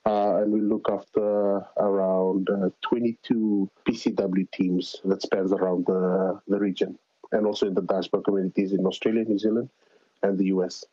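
Man, interview guest, deep voice, halting speech with fillers, dry sound. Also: dry recording, lo-fi sound. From Fiji. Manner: relaxed, halting with many fillers. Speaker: interview guest, climate organizer. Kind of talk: casual remote interview.

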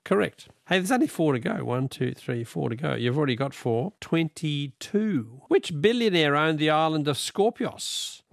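Jovial Australian radio host, dry studio sound. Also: male voice, on the high side, expressive, normal speed, gravelly, few pauses, no room sound. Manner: jovial, chatty, laughs often. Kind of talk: late-night talkback radio. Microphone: studio microphone.

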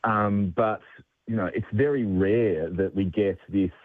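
A man's voice: on the low side, measured pace, no room sound. A male political consultant giving analysis on talk radio, hesitant, with many fillers and restarts. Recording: tinny phone line.

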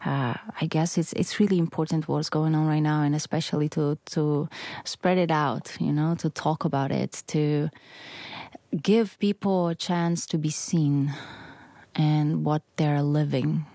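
Low gravelly Ukrainian-accented woman. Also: fairly even intonation, a little slow, mellow, no echo. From western Ukraine. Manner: earnest, searching for words in English, many restarts. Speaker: musician guest. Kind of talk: interview answers.

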